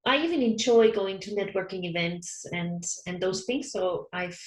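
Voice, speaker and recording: Soft-spoken German-native woman, roomy sound. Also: mid-range voice, normal speed. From Austria. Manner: soft-spoken, hesitant, laughs often. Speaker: online counsellor, guest. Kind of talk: casual interview conversation. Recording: roomy echo.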